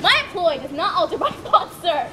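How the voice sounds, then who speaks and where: A proud, sharp-tongued lady who speaks her mind bluntly, read by a woman. The voice is high, with traffic clearly audible behind. Lines are delivered as a stage reading. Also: very animated, normal speed. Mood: cutting. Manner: cutting, blunt.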